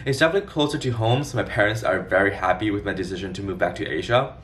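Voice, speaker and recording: Young male vlogger, twenties, fast and organized explainer read, recorded at a distance from the mic. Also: fairly low pitch, fairly bright tone, a little hiss or hum. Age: 20s. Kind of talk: scripted explainer vlog to camera.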